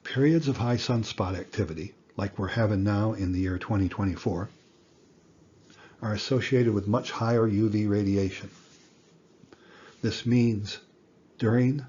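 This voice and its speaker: A man in his sixties giving an educational lecture in plain words, with a deliberate, folksy manner. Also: lowish voice, warm tone, breathy edge, dull audio. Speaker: instructor.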